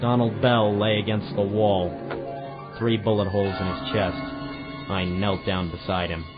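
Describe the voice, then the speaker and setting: A man's voice: lowish voice, flat delivery, measured pace, loud music behind the voice, natural voice. A man playing a hard-boiled, world-weary private eye in an audio drama, narrating in a wry noir style. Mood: tense, matter-of-fact. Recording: dull lo-fi home recording, background hiss.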